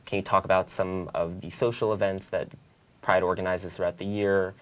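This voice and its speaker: A man's voice: low voice, level tone, normal speed. A man in his twenties with an American accent, moderating a student question-and-answer webcast. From northern New Jersey, United States. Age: 20s.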